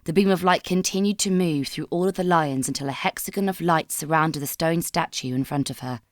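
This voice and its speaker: A woman with a low voice reads a dramatic story from a script. Her telling is measured and solemn, and the sound is very dry and close. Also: no room sound. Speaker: fan fiction narrator.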